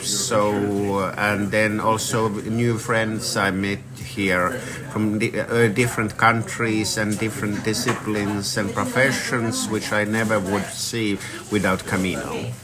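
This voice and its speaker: A man from Finland, answering an interviewer outdoors in halting English with restarts. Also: lowish voice. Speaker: pilgrim interviewee.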